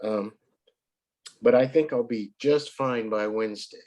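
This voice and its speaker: A man teaching a child in English, his voice a little hoarse from a cold. He speaks slowly and patiently, at a middle pitch, and keeps clearing his throat. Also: darkish tone. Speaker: English tutor. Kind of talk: online one-to-one lesson.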